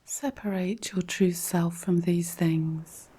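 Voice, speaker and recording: Slow, soft woman guiding relaxation. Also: on the low side, a little hiss or hum. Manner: calm, measured, instructional. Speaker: guided meditation narrator. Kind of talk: scripted guided relaxation narration.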